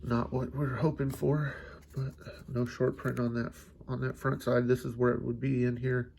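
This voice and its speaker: Stuffy-nosed man with a gravelly flat voice. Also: mid-range voice, moderate pace, slight background noise. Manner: relaxed, musing, thinks aloud with fillers. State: stuffy, congested nose. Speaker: card collecting channel host. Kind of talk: casual pack-opening commentary.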